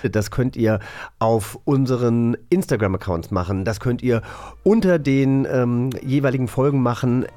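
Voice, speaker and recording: A man's voice: mid-range voice, moderate pace, mellow, no room sound. A man co-hosting a podcast, chatting casually in a studio. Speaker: podcast co-host. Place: dry studio.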